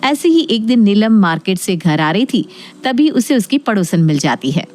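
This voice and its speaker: A woman's voice: medium pitch, very animated, moderate pace, a little mellow. A woman narrator reading a scripted domestic moral story.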